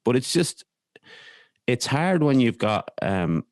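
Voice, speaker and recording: An Irish man speaking candidly as a podcast guest, in a hesitant, halting way with repeated words. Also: mid-range voice, sing-song, no echo, studio quality. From Ireland. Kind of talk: candid conversational interview.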